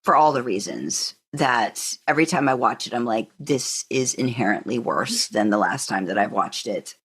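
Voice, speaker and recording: A woman's voice: very deep voice, animated, normal speed, a bit bright, breathy edge. A woman co-hosting a podcast, chatting casually through a ranked countdown and repeating words for emphasis. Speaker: podcast co-host. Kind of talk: casual fan podcast chat, ranking countdown.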